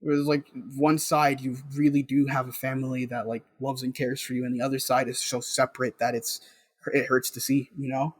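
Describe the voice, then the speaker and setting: A young man from the South Bronx in New York telling personal stories casually as an interview guest, in an animated, slangy way. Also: mid-range voice, brisk, slightly rough, dry recording. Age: young adult. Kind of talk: casual conversational storytelling.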